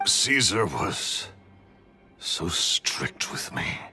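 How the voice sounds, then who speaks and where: A man's voice: low voice, sing-song, extremely slow, sharp tone, heavily acted. An actor playing a resentful male magician's apprentice turned thief, confessing in a brooding, bitter way in a video game. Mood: defensive. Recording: faint music behind.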